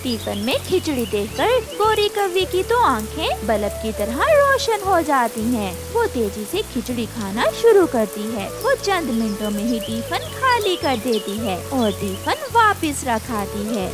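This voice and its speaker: A woman's voice: sits high, very animated, measured pace, hardly any pauses. A woman reading scripted narration for a children's moral story.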